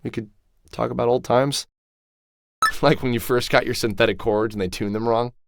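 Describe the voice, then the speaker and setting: An actor playing a gruff, hard-drinking man with a synthetic arm who talks in a blunt, sarcastic way and mutters to himself. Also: medium pitch, fairly even intonation, normal speed, no echo, studio quality, natural voice. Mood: sardonic.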